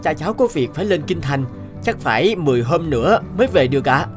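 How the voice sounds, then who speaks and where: A privileged young man in an animated tale. He talks smoothly and politely but with cocky self-assurance. His intonation is lively, over faint music. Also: on the high side, fast talker. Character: cocky, smooth-talking young son of a magistrate. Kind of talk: animated cartoon dialogue.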